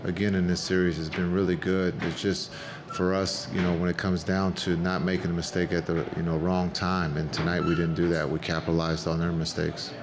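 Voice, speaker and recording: A middle-aged coach speaks into a table microphone at a press conference. His voice is deep and flat, measured and plain, with frequent fillers. Noticeable noise from the press room sits underneath. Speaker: head coach. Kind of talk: postgame press conference answers.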